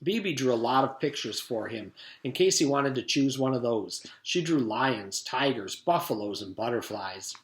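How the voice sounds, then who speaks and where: A man's voice: medium pitch, expressive, moderate pace, hardly any pauses. A man reading a children's storybook aloud like a teacher, narrating expressively in a roomy space. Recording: roomy echo.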